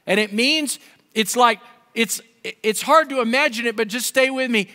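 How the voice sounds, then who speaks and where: Pastor, high bright voice, animated preaching style, reverberant hall. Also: male voice, moderate pace. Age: 50s. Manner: animated, gesturing preacher with wide swings in pitch and volume. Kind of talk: sermon. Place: large dark stage with a pulpit. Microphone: headset.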